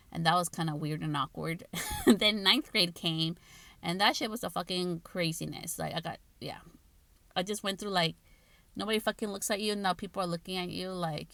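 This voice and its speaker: A woman's voice: lowish voice, gravelly, a bit bright, no room sound. A woman in her late thirties with a Guatemalan Spanish accent, hosting a podcast and talking candidly in casual, swear-heavy chat. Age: 30s. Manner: candid, frequent swearing and filler likes. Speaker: podcast host. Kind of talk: casual personal talk.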